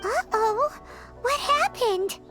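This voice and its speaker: A woman voicing a tiny, curious, excitable childlike fairy companion in a video game, with a squeaky voice. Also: slow, exaggerated voice. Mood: alarmed, curious. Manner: refers to self in third person, exclamatory. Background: music.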